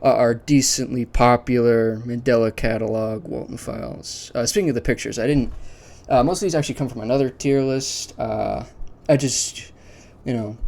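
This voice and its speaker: Man, medium pitch, casual video host, unscripted commentary, conversational, opinionated, thinking aloud. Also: steady tone, normal speed, vocal fry, darkish tone. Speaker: video host ranking a series list.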